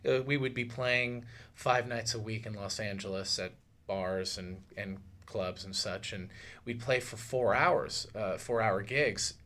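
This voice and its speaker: A man in his thirties speaking thoughtfully and a little haltingly as a musician in a casual radio interview. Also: on the low side, a bit bright, slight background noise. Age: 30s. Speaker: musician, tribute duo singer. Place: small radio studio, indoors. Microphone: studio mic on boom arm.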